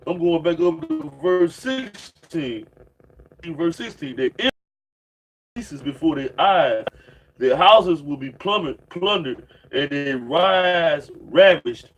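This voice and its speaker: A man's voice: a little high, expressive, slow, soft background music, poor audio. Slangy, rambling man in his late thirties. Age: 30s. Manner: slangy, rambling, fills with "man" and "you know what I'm saying". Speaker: religious preacher. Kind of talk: informal preaching monologue with scripture reading.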